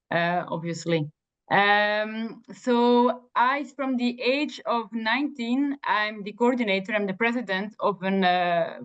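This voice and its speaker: A woman's voice: mid-range voice, a bit bright. Belgian woman with a Dutch-speaking background, lo-fi sound. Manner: earnest, with frequent fillers and restarts. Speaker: job candidate. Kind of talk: self-introduction in an interview. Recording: dull lo-fi sound.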